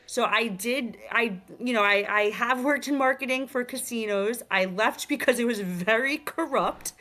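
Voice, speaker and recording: A woman's voice: a little high, normal speed. A young woman from New York with a New York accent, talking casually as a guest about her game, streetwise and full of fillers. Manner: energetic, streetwise, many fillers like "like" and "you know". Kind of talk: casual interview chat. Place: virtual talk show, remote.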